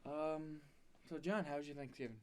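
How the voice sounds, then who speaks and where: A man's voice: fairly high pitch, steady tone, moderate pace, a little mellow. A young man co-hosting a casual podcast, mostly chiming in with short agreements. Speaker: podcast co-host. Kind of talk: casual sports chat.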